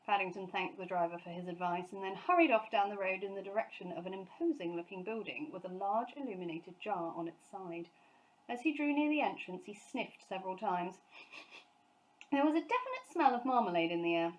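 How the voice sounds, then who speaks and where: Woman, children's storyteller, scripted narration, medium pitch, highly animated intonation. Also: rough recording.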